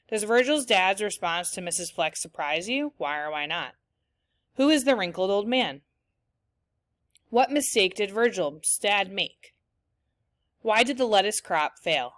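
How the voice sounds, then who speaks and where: A woman teacher reading a children's novel aloud, with clear storytelling after a few discussion questions. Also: medium pitch, no echo.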